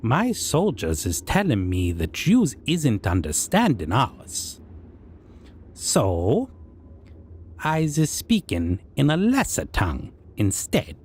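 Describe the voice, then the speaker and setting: A man's voice: medium pitch, huge pitch swings, slow pace, husky, pitched above the performer's own voice, exaggerated voice. A man voicing a proud, amused, menacing goblin king who speaks in broken grammar and musing hums. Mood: mocking. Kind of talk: roleplay audio monologue.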